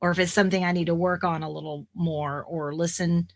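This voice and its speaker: A woman in her forties, a voice actor guest on a podcast, chatting in a rambling way with fillers and restarts, her voice slightly raspy. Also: on the low side, steady tone, a little fast. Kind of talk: casual podcast interview.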